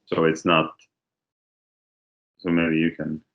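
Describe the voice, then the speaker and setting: A man musician in an interview, talking casually with some halting restarts. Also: extremely low pitch, monotone, narrow, tinny audio. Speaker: guest musician. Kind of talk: interview conversation.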